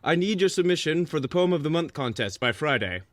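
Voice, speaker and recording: A brusque editor played by a man blusters in a high voice that jumps around wildly. He is scornful, sarcastic and short of patience, in the broad manner of a comic radio play. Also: animated, a little fast, no echo, lightly acted. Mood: dismissive. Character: brusque, scornful, impatient magazine editor, male. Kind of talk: comic radio drama acting.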